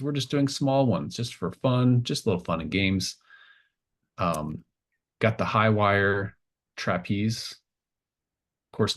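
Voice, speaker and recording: A man voicing an eager, folksy circus barker with cheerful, slightly hesitant sales patter in a tabletop game. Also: on the low side.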